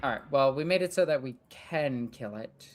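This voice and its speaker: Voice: medium pitch, lively intonation, moderate pace, a little hiss or hum. A young adult gaming streamer chatting casually and rambling while playing a strategy game, swearing now and then.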